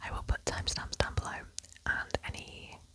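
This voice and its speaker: A woman from Wales, whispering softly as an ASMR channel host, rambling gently and repeating words, close to the mic. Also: a little fast. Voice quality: soft whisper throughout. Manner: soothing repetition of words, rambling with fillers and restarts. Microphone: close ASMR microphone held near the face.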